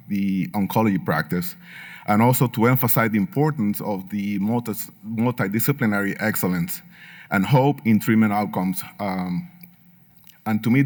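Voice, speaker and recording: A man with a fairly low voice and a marked Puerto Rican Spanish accent speaks to a conference audience. He is middle-aged and earnest, and he pads his sentences with many ums and uhs while slipping in light humor. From Puerto Rico. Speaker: patient speaker at a medical symposium. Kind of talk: conference talk.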